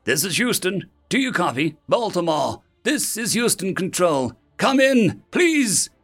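Man acting a desperate, high-pitched mission control operator. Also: big pitch swings, unhurried, a bit bright, faint music behind, exaggerated voice. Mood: desperate, urgent. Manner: urgent, pleading radio calls.

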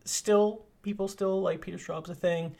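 Casting: male podcast co-host, fairly high voice, enthusiastic, rambling trivia delivery. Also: fairly even intonation, normal speed, vocal fry, darkish tone. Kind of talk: casual chat about a horror film.

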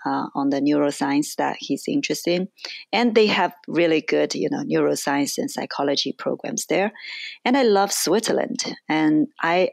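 A woman's voice: low voice, creaky, no echo. A woman in her forties with a Chinese accent, answering interview questions in a thoughtful way, with frequent fillers and restarts. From China.

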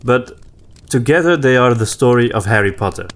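A man's voice: mid-range voice, expressive, brisk. A man co-hosting a podcast in a casual, reflective discussion, hesitating and rephrasing as he goes. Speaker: podcast co-host.

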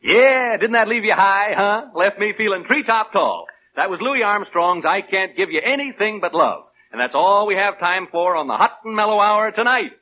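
A man acting a brash, excitable disc jockey in an old radio drama, with showy, jokey patter full of questions. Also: sits high, normal speed, hardly any pauses. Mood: jaunty, playful. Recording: tinny old radio broadcast, music behind.

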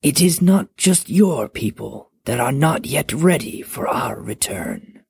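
Casting: a woman doing a colossal elder dragon father, deep, raspy and breathy, slow and measured, stern authority, dry close sound. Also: big pitch swings, a little mellow, no room sound, exaggerated voice. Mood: solemn. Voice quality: deep gravelly whisper. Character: huge ancient male dragon parent, stern. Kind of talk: audiobook character voice.

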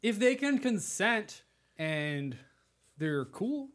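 Man, co-host, hugely varied pitch, casual podcast banter. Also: big pitch swings, very slow, fairly bright tone.

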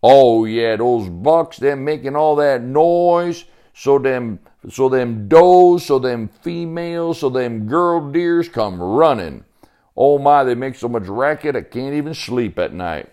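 A man's voice: medium pitch, animated, takes it slowly, dark tone, clear, resonant tone, voice pushed up. A man voicing a boastful, fatherly woodsman in a story, with folksy turns of phrase and emphatic repetition. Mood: excited amused. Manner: folksy drawl phrases, repeats himself emphatically. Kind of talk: storytelling character voice.

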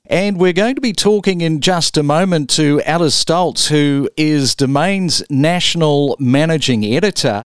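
A man's voice: mid-range voice, clean tone, no echo, studio quality. Polished male podcast host. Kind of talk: radio-style interview hosting.

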